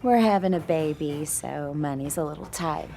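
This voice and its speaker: A woman's voice: sits low, normal speed, gravelly, warm tone, slight background noise. An actress in an audio drama playing a sweet, chatty woman who poses as an expectant mother, friendly and confiding. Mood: apologetic. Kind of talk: audio fiction drama acting.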